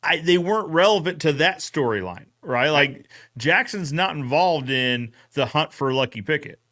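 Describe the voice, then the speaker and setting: A man's voice: fairly high pitch, expressive, moderate pace, pushed and bright. A man co-hosting a casual recap chat, often retelling conversations by quoting both sides. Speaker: podcast co-host.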